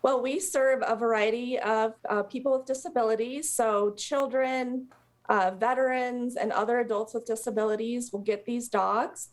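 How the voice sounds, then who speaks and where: A woman's voice: a little high, steady tone, moderate pace. American woman explaining in a live interview. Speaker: interview guest, service dog charity representative.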